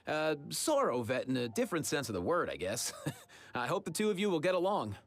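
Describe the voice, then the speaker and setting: An actor playing a friendly, easygoing young adventurer in a video game, with an upbeat, casual, chatty manner. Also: male voice, fairly high pitch, sing-song, a little fast. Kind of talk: video game character lines.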